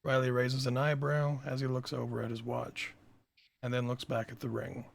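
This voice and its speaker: A man with a gravelly, husky voice reading stage directions as scripted narration at a table read. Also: mid-range voice, monotone, normal speed, no echo.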